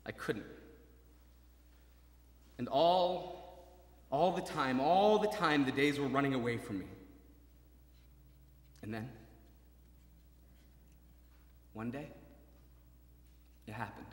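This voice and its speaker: An actor playing a maimed young soldier, desperately hopeful, in a halting, intense dramatic monologue that moves between murmurs and cries. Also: male voice, medium pitch, natural voice. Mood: haunted, searching. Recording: reverberant hall, stage performance.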